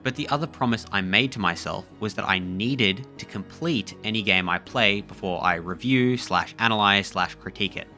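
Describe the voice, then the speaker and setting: A man reading a scripted video essay voiceover in a measured, reflective way. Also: fairly low pitch, pushed and bright, faint music behind.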